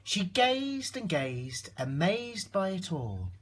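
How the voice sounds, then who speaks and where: A man reading a rhyming children's storybook aloud in an expressive narrating style. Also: high-pitched, slow pace, breathy edge. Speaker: storybook reader.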